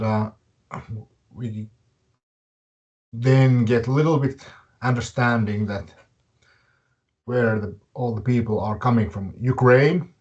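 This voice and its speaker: A man with a Finnish accent hosting a live webinar, speaking in short, halting, deliberate phrases. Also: medium pitch, dull audio. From Finland. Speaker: webinar host and fitness academy director.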